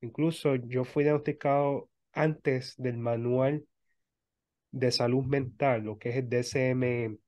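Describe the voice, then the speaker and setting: Man, thirties, medium pitch, interview host, casual, halting with repeated words. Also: fairly even intonation, a little mellow. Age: 30s.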